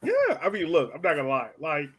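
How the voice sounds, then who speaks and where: Higher-pitched man hosting casually. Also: wildly swooping pitch, brisk, fairly bright tone, breathy edge. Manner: informal, slangy. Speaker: podcast host. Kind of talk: casual sports podcast chat.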